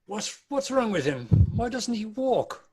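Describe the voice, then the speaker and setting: Troubled old miser, played by a man, high-pitched and husky, for a holiday drama read. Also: very animated, measured pace, a little mellow, full character voice. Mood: anxious pleading. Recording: remote video-call recording.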